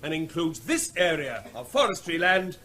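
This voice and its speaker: A man's voice: on the high side, sing-song, moderate pace, bright, forceful tone. An actor playing a firm male veterinary officer briefing a restless public meeting, raising his voice over hecklers in a vintage radio drama.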